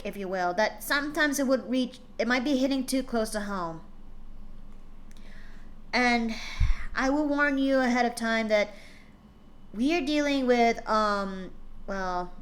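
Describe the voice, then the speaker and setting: Bright, chatty woman streamer. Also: a little high. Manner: chatty, many fillers and restarts. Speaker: stream host reading fan fiction. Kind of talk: casual live-stream chat.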